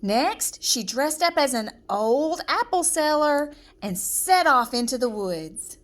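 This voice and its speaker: A woman reading a children's fairy tale aloud, with expressive, deliberate, dramatic storytelling. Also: sits high. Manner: measured, dramatic, deliberate phrasing.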